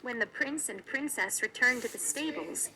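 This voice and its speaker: A woman reading a children's read-along story in an expressive narrating style. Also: on the high side, moderate pace, low-quality recording. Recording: music behind the voice.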